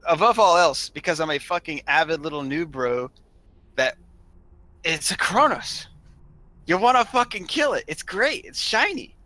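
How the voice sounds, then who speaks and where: A man casually telling gaming stories in an animated, emphatic way, swearing freely. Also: on the high side, moderate pace, dry recording. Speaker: online gaming group member telling stories.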